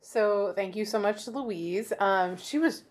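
A woman co-hosting a casual podcast, laughing often and teasing her partner. Her voice sits at an ordinary middle pitch for a woman. She talks in loose, chatty runs. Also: measured pace. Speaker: podcast co-host. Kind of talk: casual chat.

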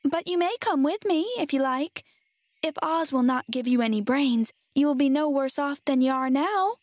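A woman's voice: high voice, lively intonation, moderate pace, darkish tone, no room sound, voice pushed up. A woman voicing a polite, kind little farm girl from a storybook, speaking earnestly and with sympathy. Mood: helpful.

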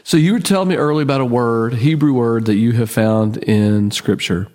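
A man's voice: mid-range voice, normal speed, a little mellow. Joking man in a reverberant room. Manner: joking, playful interjections. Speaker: podcast host. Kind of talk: casual conversational chat.

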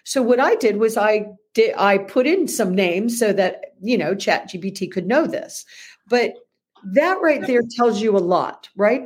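A woman from the United States co-hosting a podcast, telling stories in an animated, self-questioning way. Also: medium pitch. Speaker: podcast co-host. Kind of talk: conversational podcast talk.

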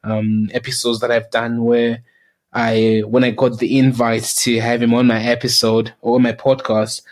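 A man with a Southern African accent hosting a casual podcast chat, speaking in a hesitant, halting way. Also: mid-range voice, level tone. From Zimbabwe, grew up in South Africa. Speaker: podcast host.

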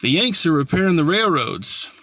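A gray-clad soldier in an audiobook story, voiced by a male narrator, reporting what he has seen at the front. The voice is bright and forward, lifted a little in pitch, with plain, informative phrasing. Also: very animated, moderate pace, far from the mic, full character voice. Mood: matter-of-fact.

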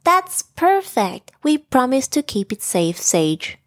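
A woman voicing an excited, cheerful young female bear with enthusiastic delivery in a children's bedtime story. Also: sits high, takes it slowly, no echo, clean studio sound, exaggerated voice. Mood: grateful. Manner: bright enthusiastic exclamations.

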